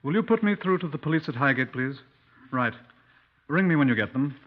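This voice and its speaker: An actor playing a brisk, dry-humoured British police inspector in a 1950s radio drama, clipped and courteous in manner. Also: male voice, on the high side, expressive. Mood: brisk, businesslike. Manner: clipped, courteous, dryly probing. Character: brisk, dry-humoured Scotland Yard police inspector narrating his case. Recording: dull 1950s radio recording.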